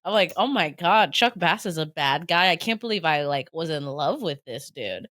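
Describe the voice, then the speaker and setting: Lively, slightly raspy young woman. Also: low-pitched, moderate pace. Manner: chatty, animated, says "like" often. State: slightly raspy, drowsy from allergies. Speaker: podcast co-host. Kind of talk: casual chat.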